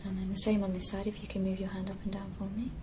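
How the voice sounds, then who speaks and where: A young woman talks a patient through a physical exam step by step. Her voice is mid-pitched, and there is clear noise behind it. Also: completely flat delivery, rapid-fire. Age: young adult. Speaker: clinician examining a patient. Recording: noisy office room recording.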